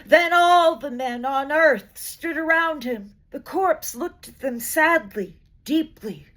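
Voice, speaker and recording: A woman reciting poetry dramatically, with an expressive, measured delivery and deliberate pauses between phrases. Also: high-pitched. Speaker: poetry reader.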